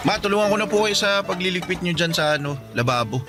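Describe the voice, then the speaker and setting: A man's voice: sits high, animated, quick pace, loud music behind the voice. An actor playing a polite, slightly shy teenage son who speaks respectfully to his mother in a radio drama. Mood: earnest. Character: polite teenage son who just graduated high school.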